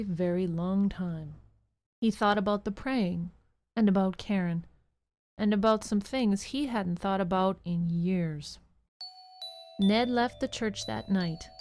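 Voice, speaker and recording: A woman narrating a scripted children's story in a measured way with dramatic pauses. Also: medium pitch, warm tone, no echo.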